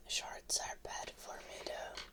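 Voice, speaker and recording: Slow, whispering woman. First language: Finnish. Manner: soft whispering, chatty and casual, answers chat; bouncing while sitting on an exercise ball. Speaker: ASMR streamer. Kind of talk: whispered live-stream chat.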